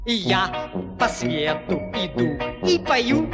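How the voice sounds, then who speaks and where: An actor playing an eager, boastful young army clerk, singing in a 1970s audio musical. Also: male voice, high-pitched, wildly swooping pitch, slow, full character voice. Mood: cheerful bravado. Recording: loud music behind the singing. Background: song accompaniment.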